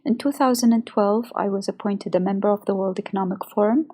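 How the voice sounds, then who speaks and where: Mellow, slightly husky woman from Saudi Arabia. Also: medium pitch, fairly quick. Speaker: researcher and women's rights activist. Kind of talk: scripted personal narration.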